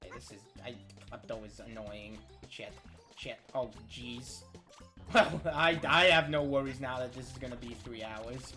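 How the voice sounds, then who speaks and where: A young male streamer talks casually through his gameplay. He rambles, swears and corrects himself, while game music plays clearly behind him. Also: mid-range voice, unhurried, sharp tone. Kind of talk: casual live gameplay commentary.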